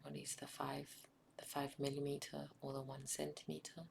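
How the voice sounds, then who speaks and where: South african woman, afrikaans first language, soft asmr whispering while crafting at home, lots of ums, deep-ish gravelly voice. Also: completely flat delivery, a little hiss or hum. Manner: soft, often whispered, unhurried, with filler ums.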